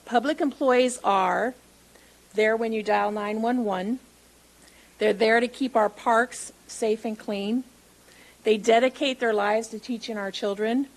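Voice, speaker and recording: A woman's voice: on the high side, steady tone, slow, poor audio. A woman reading a prepared statement during public comment at a city council meeting. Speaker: union member at public comment.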